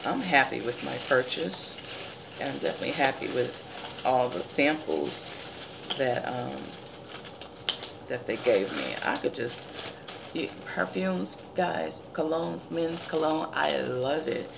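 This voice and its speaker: A woman in her forties with a deep, gravelly, tired-sounding voice, chatting casually as a vlogger in her living room. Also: slow, background noise. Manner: chatty, animated storytelling. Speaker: beauty vlogger. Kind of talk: casual product haul chat. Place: living room by window blinds, indoors.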